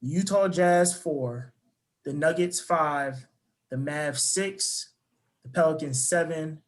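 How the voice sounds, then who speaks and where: Slightly high-voiced man leading a segment. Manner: talks fast, steers the segment. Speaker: podcast host. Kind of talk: casual sports chat.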